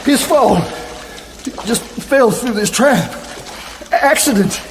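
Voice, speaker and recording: Male crook for radio thriller: extremely high pitch, short barked lines, noisy backdrop. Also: animated, takes it slowly, heavily acted. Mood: desperate pleading. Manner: barking short commands and pleas. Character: menacing gangster robber with a rifle, turning to begging. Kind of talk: radio drama acting.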